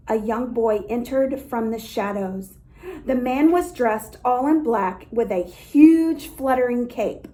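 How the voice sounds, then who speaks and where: Woman doing storytime for kids, soft mellow tone, takes it slow, expressive reading. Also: a little high, low-quality recording. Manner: expressive, childlike asides to listeners. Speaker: storytime reader for a dance academy. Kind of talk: read-aloud storytelling for young children.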